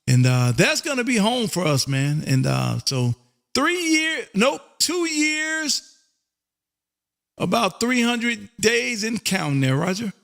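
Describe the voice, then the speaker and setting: Male American ham radio host, gravelly and high-voiced, exuberant, folksy delivery with pet phrases. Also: big pitch swings, takes it slowly, fairly bright tone. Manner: exuberant, folksy, repeats catchphrases. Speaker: amateur radio operator and livestream host. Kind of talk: casual on-air ham radio chat.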